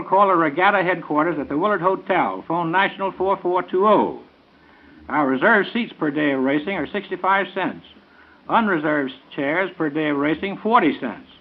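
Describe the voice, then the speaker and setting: An American man making scripted announcements in the style of 1930s radio. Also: on the high side. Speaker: event announcer on a radio show. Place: radio studio. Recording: tinny, narrow 1930s radio broadcast.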